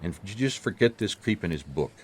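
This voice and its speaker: An actor playing a world-weary, wry private detective with an American accent in a noir radio drama, dry and hard-boiled in dialogue and narration. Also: male voice, sits low, expressive, brisk. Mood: wry, narrating.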